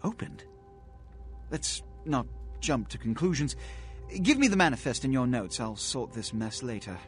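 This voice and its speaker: Male video game role male actor: aristocratic vampire schemer, condescending, lively intonation, faint music underneath. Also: medium pitch, normal speed, lightly acted. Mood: brisk, disdainful. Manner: pompous, ornate, condescending phrasing. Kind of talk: scripted video game acting. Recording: music behind.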